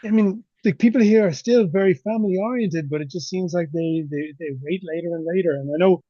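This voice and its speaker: A man's voice: sits high, a little fast, dry recording. Forty-something man on a dull, dry call line. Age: 40s. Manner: relaxed, chatty, laughs easily. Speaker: podcast host. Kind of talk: casual conversation. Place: home, on a remote call.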